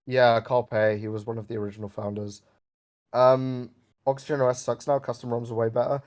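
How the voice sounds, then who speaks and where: A man chatting casually about phones and software on a voice call, rambling with fillers and some swearing. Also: medium pitch, level tone, fairly quick. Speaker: jailbreak developer on a stream. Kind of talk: casual tech chat.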